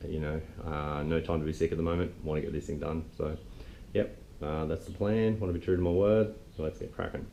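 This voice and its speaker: A man's voice: a bass voice, steady tone, fairly quick, creaky, warm tone, dull audio, a little hiss or hum. A man, nasal from a flu, talking through his car restoration in a laid-back, rambling way. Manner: laid-back, rambling with many ums and uhs. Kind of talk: casual workshop vlog talk.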